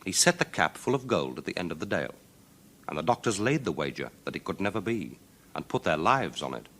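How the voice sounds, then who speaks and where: A man's voice: low voice, sing-song, fairly quick, a bit bright. A man narrating a children's folk tale in a theatrical, declamatory and rhythmic way. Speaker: storyteller. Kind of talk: dramatic children's storybook narration.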